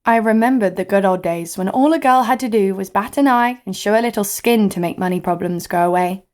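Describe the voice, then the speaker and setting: A woman's voice: mid-range voice, fairly quick, close to an everyday voice. A woman playing a cocky, foul-mouthed adventurer, narrating and speaking in an audio drama with a sardonic manner. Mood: wry narration. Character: brash, sarcastic, foul-mouthed female adventurer narrating her exploits. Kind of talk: first-person audio drama narration and dialogue.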